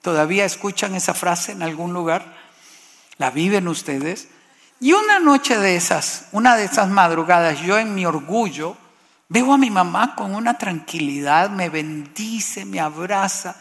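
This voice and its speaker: A man's voice: high voice, animated, unhurried, a bit bright, long reverb tail. A man from Costa Rica preaching a sermon, impassioned and building to exclamations.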